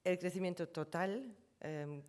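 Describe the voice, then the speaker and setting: A woman's voice: lowish voice, measured pace, darkish tone. A woman whose first language is German, giving a conference presentation and explaining figures step by step, often correcting herself as she goes. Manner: explanatory, self-correcting, walks through figures from slides. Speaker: conference speaker on trademarks.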